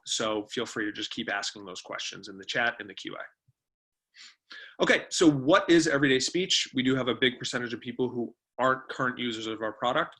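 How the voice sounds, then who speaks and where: A man's voice: medium pitch, fairly bright tone. A man giving an informal online presentation, explaining things in a conversational way as the webinar's presenter. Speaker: webinar presenter, company co-founder. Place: remote webinar.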